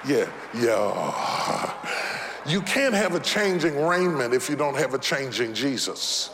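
A man in his fifties preaching an impassioned sermon in a church, repeating phrases and building to shouted peaks. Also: mid-range voice, sing-song, slow, noisy background. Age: 50s. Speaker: pastor. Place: church sanctuary. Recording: long reverb of a large hall.

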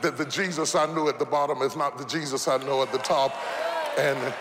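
A man in his fifties preaching an impassioned sermon in a church, repeating phrases and building to shouted peaks. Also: medium pitch, steady tone, fairly quick, over a noisy crowd, very noisy. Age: 50s. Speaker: pastor. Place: church sanctuary. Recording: long reverb of a large hall.

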